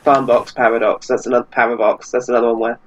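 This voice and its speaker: A man's voice: mid-range voice, flat delivery, fast. Man on dull, lo-fi podcast audio. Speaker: podcast panellist. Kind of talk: casual chat.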